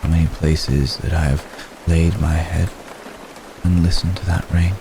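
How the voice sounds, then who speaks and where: A man playing an ancient, brooding, remorseful vampire gentleman in an intimate ASMR roleplay, speaking formally and solemnly with long, measured pauses. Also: deep voice, gravelly. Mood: somber, wistful. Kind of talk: ASMR roleplay, intimate slow dramatic monologue. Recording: steady rain noise behind the voice. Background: rain.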